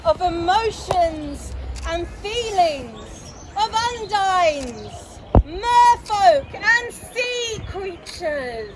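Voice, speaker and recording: A woman calls out a solemn ceremonial invocation in short phrases, very slowly and very high. She is outdoors, with clear noise and crowd sound around her. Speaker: ceremony celebrant. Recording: noisy outdoor crowd recording.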